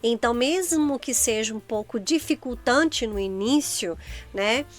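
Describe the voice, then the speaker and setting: A middle-aged Brazilian woman co-hosting a casual chat, her voice sitting high. She talks in a chatty way with a Brazilian Portuguese accent and speaks straight to viewers. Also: expressive, a little slow. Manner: chatty, addresses viewers directly. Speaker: co-host.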